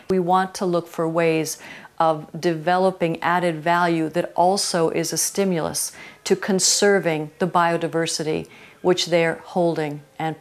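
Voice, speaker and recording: A middle-aged woman explaining her work as an expert in an indoor interview. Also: low-pitched. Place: dim book-lined room indoors.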